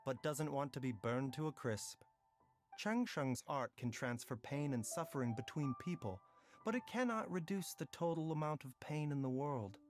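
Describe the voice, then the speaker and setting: A man playing a gentle, philosophical young doctor in a video game, with measured, articulate and reflective delivery. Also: medium pitch, lively intonation, close to an everyday voice. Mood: solemn, reflective. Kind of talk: scripted video game voice acting. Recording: music playing behind the voice.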